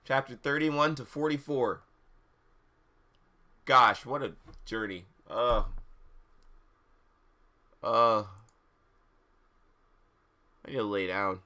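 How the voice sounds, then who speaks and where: A man's voice: medium pitch, steady tone, takes it slowly, pauses often, sharp tone. A man hosting a livestream, switching between casual chat and a theatrical, dramatic reading of fan fiction. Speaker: streamer and host reading fan fiction aloud.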